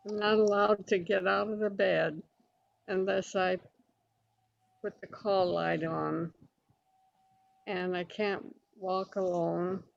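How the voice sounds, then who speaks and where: An older woman with a raspy voice, chatting casually with family on a video call. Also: fairly low pitch. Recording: muffled video call audio.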